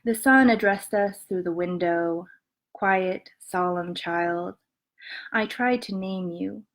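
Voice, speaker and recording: A woman poet reading her poems aloud and talking softly between them, with many ums, on what sounds like a home webcam mic. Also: fairly low pitch. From Montana and western Colorado, USA. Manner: soft-spoken, many ums, measured reading. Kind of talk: poetry reading with spoken introductions.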